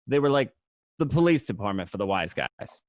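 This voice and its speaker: A man playing a cocky young wise guy looking back on his mobster youth in an amateur radio play, talking colloquially and a little haltingly. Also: lowish voice, very animated, no room sound, highs cut off. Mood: admiring, explanatory. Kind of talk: first-person narration in an amateur radio play.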